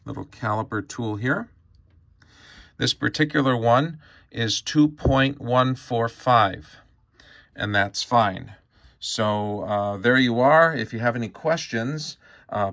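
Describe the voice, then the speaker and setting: A man with a bright, forward voice talks through a practical tutorial. His delivery is casual and methodical, going one step at a time, recorded indoors at a workbench. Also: on the low side. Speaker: presenter of a hands-on tutorial. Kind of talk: casual instructional demonstration. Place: indoor workshop bench.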